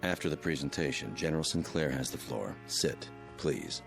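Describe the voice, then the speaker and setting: A terse government agent who gives orders in a curt, official way. His voice is very low. Faint music plays behind. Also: expressive, moderate pace, creaky, exaggerated voice. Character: clipped government agent in a gray suit.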